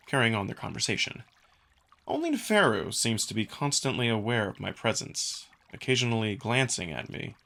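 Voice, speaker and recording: A man's voice: medium pitch, pushed and bright. A man reading scripted first-person narration for a visual novel as the narrator.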